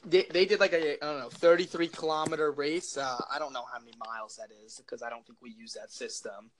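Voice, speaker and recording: A young man with a loud, projecting voice, rambling jokily through a casual chat as co-host. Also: fairly high pitch, fairly even intonation, fast, a crowd in the background, poor audio. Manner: rambling, filler-heavy, jokey.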